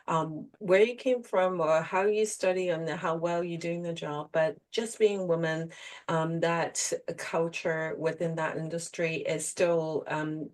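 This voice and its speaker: A woman's voice: fairly low pitch, a little mellow. A middle-aged woman speaks. She is an executive coach answering as a podcast guest, in a conversational interview style. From Hong Kong.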